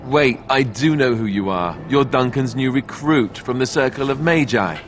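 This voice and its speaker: A man's voice: mid-range voice, moderate pace, background noise, close to an everyday voice. A man playing a wry, sarcastic young warden in a video game, glib and affable, with a dry, flippant delivery. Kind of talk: video game dialogue acting.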